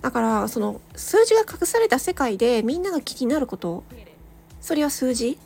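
A woman hosting a solo audio show, chatting casually and reflectively to listeners, thinking aloud with hesitant pauses. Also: high voice, big pitch swings, vocal fry, darkish tone, soft background music. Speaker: solo audio channel host, amateur voice actor.

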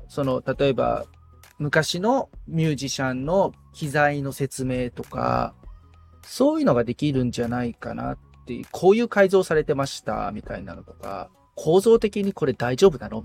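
Husky middle-aged man, lively intonation. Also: mid-range voice. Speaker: podcast guest, guitar repair technician. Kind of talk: casual interview conversation.

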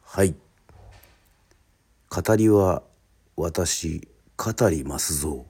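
A man with a deep, soft-edged voice hosts a radio drama. He speaks slowly and formally, like an announcer setting up a show. He sets each phrase apart with a deliberate pause. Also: slightly rough. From Yoron Island, Japan. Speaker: radio host and narrator.

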